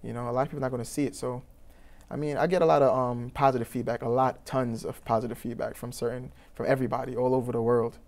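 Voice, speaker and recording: Young trini guy talking quick, earnest and rambly, lots of ums. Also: mid-range voice, flat delivery, a little mellow. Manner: earnest, rambling, many fillers and restarts. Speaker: youth program founder. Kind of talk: interview answers.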